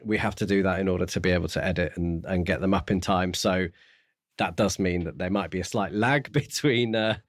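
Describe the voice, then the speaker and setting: A man co-hosting a podcast in a casual book discussion, talking in a measured, explanatory and courteous way. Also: low voice, no echo, studio quality. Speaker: podcast co-host.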